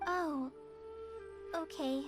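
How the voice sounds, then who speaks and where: A woman's voice: high voice, very slow, faint music behind. A woman voicing an eager, earnest young girl in a video game, a little hesitant and unsure of herself. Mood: eager, deferential.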